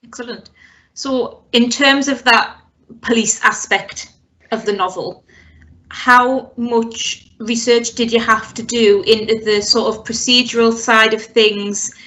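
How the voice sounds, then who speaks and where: A woman's voice: on the high side, steady tone, slow pace, sharp tone, rough recording. A woman hosting an author interview, asking conversational questions as a librarian. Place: online video event.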